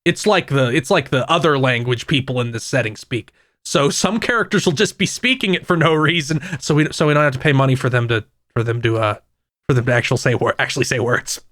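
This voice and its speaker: A man co-hosting a casual gaming podcast, talking in a rambling way with frequent restarts and quick asides. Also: mid-range voice, fairly bright tone, dry recording, clean studio sound. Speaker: podcast co-host.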